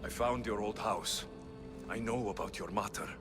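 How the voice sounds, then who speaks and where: A man playing a confident, cocky but warm-hearted young mercenary hero in a video game, with a plain-spoken delivery. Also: fairly low pitch, normal speed, close to an everyday voice. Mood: solemn. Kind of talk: cinematic video game acting. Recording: cinematic music behind the voice. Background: music.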